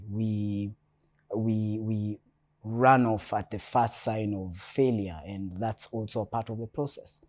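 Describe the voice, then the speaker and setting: A man's voice: fairly low pitch, a little mellow. Reflective Kenyan man on a panel. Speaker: panel guest, entrepreneur. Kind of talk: panel discussion. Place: hotel event space.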